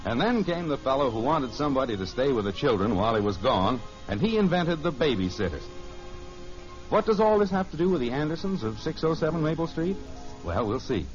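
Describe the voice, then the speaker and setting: A man's voice: medium pitch, very animated, brisk. A man announcing and narrating a 1950s radio program in a scripted style. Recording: muffled vintage radio with music. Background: music.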